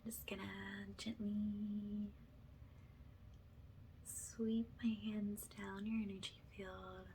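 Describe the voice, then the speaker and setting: A woman speaking softly and breathily, often slipping into a whisper, gently reassuring in the style of a guided ASMR energy-healing session. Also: mid-range voice, little pitch movement, a little hiss or hum, low-quality recording. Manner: gentle, slow, reassuring, affirming.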